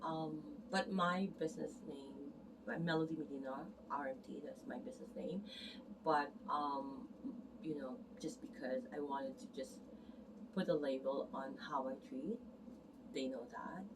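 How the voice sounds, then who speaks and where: A woman massage therapist talks to camera in a casual, earnest ramble, often restarting her sentences. Her voice sits low. She sounds set back from the mic, and a steady noise runs underneath her. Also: measured pace. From Canada immigrant, arrived as a teen. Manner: rambling, self-correcting, earnest. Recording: distant mic, noticeable background noise.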